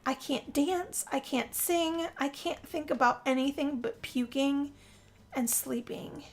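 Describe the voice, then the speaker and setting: A woman's voice: sits high, steady tone, slow. A woman voicing a moody, weary young woman in a first-person dramatic role-play, with a sighing, confessional delivery. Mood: weary, queasy. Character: young woman Yazmin, moody, weary, being turned vampire. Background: guitar music.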